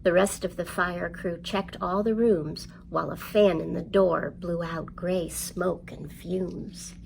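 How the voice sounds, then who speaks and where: A woman presenting a storytime for young children, reading and explaining in an expressive, simple, encouraging way. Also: on the low side, takes it slowly, vocal fry, a little hiss or hum, poor audio. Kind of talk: read-aloud storytelling and instruction for young children.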